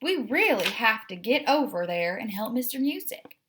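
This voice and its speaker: A woman's voice: fairly high pitch, very animated, normal speed, slight background noise. Woman reading as earnest, patient storybook tomcat. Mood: earnest, persuasive. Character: earnest, patient male cat, quietly clever. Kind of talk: children's storybook read-aloud.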